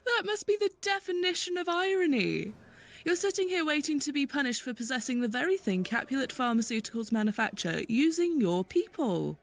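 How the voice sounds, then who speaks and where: A woman's voice: high voice, very animated, brisk. A woman playing a cynical prison officer in a dystopian audio drama, wry and matter-of-fact. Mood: wry, mocking.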